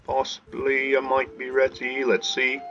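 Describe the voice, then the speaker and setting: A man giving excited live commentary over his gameplay, talking to his viewers and shouting during fights. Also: medium pitch, a little slow, highs cut off. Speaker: gaming channel host. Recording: game music behind voice.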